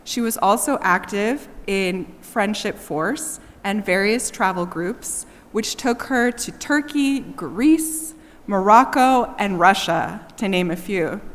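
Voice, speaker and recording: A woman reading a prepared eulogy in a church, slow and measured, pausing between each item she lists. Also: medium pitch. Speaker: daughter giving a eulogy. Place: church sanctuary. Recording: long church reverb, faint background hiss.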